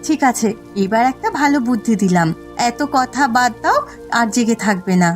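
Woman acting a high-voiced, shrewd village wife. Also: expressive, moderate pace, soft background music, close to an everyday voice. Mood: advising. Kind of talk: cartoon voice acting.

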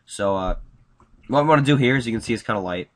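A young man with a nasal, slightly congested voice, casually talking through a software tutorial with lots of fillers. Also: mid-range voice, lively intonation, fast talker. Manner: casual, upbeat, many fillers. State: congested from a cold. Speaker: tutorial host. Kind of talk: screen-recorded software tutorial.

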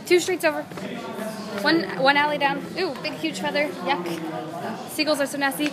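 A woman's voice: sits high, normal speed. A young woman chatting casually to her phone as she vlogs outdoors in a city. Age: young adult. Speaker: vlogger. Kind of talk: casual handheld vlog chat. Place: outdoors on busy city streets. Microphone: phone held at arm's length. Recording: noisy street with crowd chatter.

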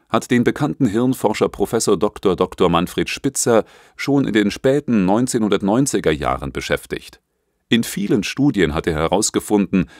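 A man narrates an audiobook from a script in a low voice. The sound is dry, with hardly any room to it. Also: no echo.

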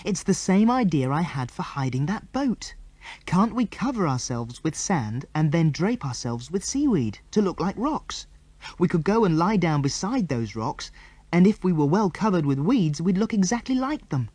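A British man voicing a quick-thinking, earnest girl in an adventure storybook. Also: a little high, very animated, a little mellow, husky, dry recording, voice pushed up. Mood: breathless, excited.

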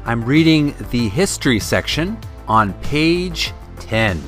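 Animated man reading aloud over faint music. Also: medium pitch, slow. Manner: polite, courteous, stumbles and corrects himself while reading. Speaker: channel host reading a book aloud. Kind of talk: read-aloud narration and channel talk.

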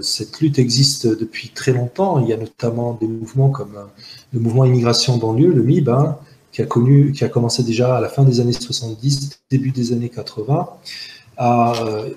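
A man in his forties, originally from Algeria with Arabic as his first language, speaking as an interview guest, earnest and analytical, pausing often with fillers and restarts, talking outdoors into a close camera. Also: medium pitch, darkish tone, roomy sound. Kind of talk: reflective testimony in an interview. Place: outdoors in a park-like garden by buildings.

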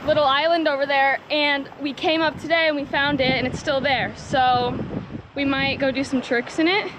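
A woman's voice: high voice, steady tone, moderate pace, noisy background. A teenage girl chatting to a handheld camera in a casual, bubbly vlog, often saying "oh my gosh", filmed aboard a sailboat and on a sunny beach. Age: teens. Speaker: vlogger. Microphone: handheld action camera at arm's length.

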